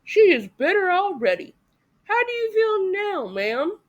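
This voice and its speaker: A woman voicing a kindly, hearty gentleman from a storybook, speaking in polite exclamations. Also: extremely high pitch, sing-song, unhurried, dull audio, pitched above the performer's own voice, exaggerated voice. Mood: concerned, kindly.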